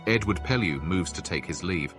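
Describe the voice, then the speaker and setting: A man's voice: low-pitched, lively intonation, moderate pace, a bit bright, soft background music. A man voicing a solemn storyteller who gives scripted narration of scenes in a fantasy video game. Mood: descriptive.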